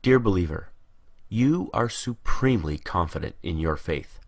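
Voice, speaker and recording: Male narrator, low register, highly varied intonation, deliberate and emphatic rhetorical delivery. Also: expressive. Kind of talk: scripted rhetorical monologue.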